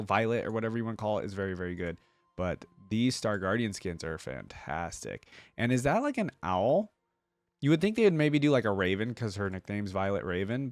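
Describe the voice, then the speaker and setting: A man's voice: on the low side, no echo. A man giving casual, slangy reaction commentary as a video game host talking to his viewers. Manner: informal, slangy, addresses viewers.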